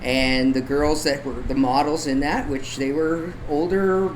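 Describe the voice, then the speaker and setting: A man's voice: a little high, fairly even intonation, a little slow. Male podcast guest chatting, noisy room. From Canada. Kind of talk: casual chat. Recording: clear background noise, room ambience.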